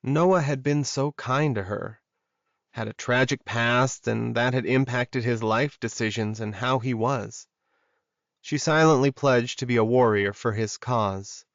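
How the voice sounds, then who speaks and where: A man's voice: mid-range voice, a little slow, no echo, muffled recording. A man reading scripted fiction as an audiobook narrator.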